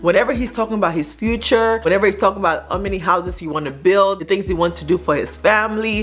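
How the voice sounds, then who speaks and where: A young woman from Sierra Leone with a Nigerian-tinged West African accent, giving blunt, teasing dating advice to camera. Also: lowish voice. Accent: Nigerian-influenced West African English. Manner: blunt, teasing, emphatic with slang. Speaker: vlogger. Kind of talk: frank advice talk to camera.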